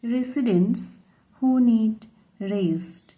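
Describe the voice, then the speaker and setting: A woman tutor reading a model letter aloud as a lesson, in deliberate word-by-word, dictation-style phrasing. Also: on the high side, mellow, rough recording. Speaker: English tutor.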